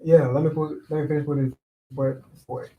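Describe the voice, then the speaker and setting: A man's voice: on the high side, little pitch movement, fairly quick, dull audio, slight background noise, natural voice. A man playing an anxious, responsible working buddy in a staged reading, exasperated and mixing in Spanish. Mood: teasing, worried. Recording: echoey, distant from mic.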